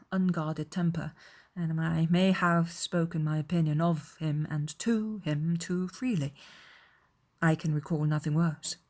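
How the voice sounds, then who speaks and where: A woman voicing an aggrieved young gentleman in a dramatized audiobook, confiding and self-justifying, with measured, earnest, formal delivery. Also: on the low side.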